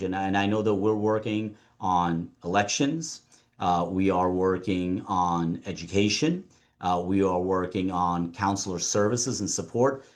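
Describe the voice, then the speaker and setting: A man speaking as a panelist at a conference, measured and deliberate, often repeating words as he starts a phrase. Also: fairly low pitch. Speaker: panelist and council member.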